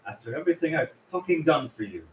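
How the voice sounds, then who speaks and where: A man's voice: fairly high pitch, sing-song, quick pace. Echoey man playing a jittery, petulant accountant villain. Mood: bitter. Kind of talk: script table read. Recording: echoey room with faint noise.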